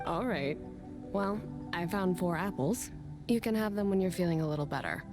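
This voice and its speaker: An actress playing a caring, reassuring comrade in a video game, with a gentle, soothing way of talking. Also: female voice, lowish voice, normal speed, music in the background, lightly acted. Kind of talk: video game character dialogue.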